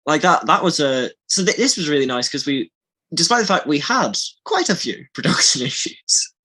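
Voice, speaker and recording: A man's voice: a little high, very animated, moderate pace, pushed and bright, breathy edge. A man chatting casually on a behind-the-scenes podcast, rambling effusively and often restarting his sentences. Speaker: audio drama lead actor.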